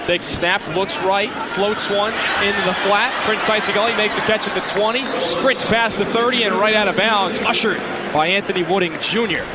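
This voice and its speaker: High-voiced young American football play-by-play man. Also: fairly even intonation, normal speed. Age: young adult. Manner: vivid, descriptive, clipped running phrases. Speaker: radio play-by-play announcer. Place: stadium broadcast position, indoor dome. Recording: noisy live stadium broadcast. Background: loud stadium noise.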